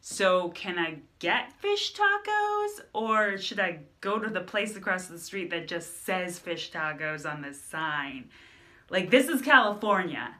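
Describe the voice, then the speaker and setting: A hungry guy at a taco counter, as a woman puts him on who drops his voice low and begs for fish tacos. He sounds bright and edgy as he talks his way through the order. He pushes his case in a chatty, pleading way. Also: very animated, a little slow, full character voice.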